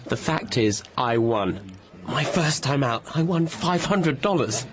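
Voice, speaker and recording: An actor playing a polite, loyal young secretary with a British accent in a radio drama. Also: male voice, medium pitch, animated, slow pace. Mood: polite, friendly. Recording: busy crowd noise behind.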